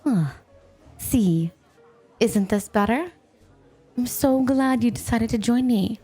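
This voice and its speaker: A woman's voice: fairly high pitch, takes it slowly, warm tone, husky, soft background music. A woman playing a playful, possessive snow spirit in an ASMR roleplay, coaxing and teasing the listener with coy, intimate talk. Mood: sweet, pleading. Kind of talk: ASMR roleplay, scripted, intimate one-sided dialogue.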